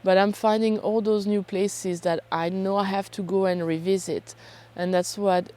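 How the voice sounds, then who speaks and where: A woman, hoarse from a cold, talking casually and chattily to camera as a self-deprecating vlog host. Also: medium pitch, fairly even intonation, normal speed, mellow. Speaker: photography vlogger and host.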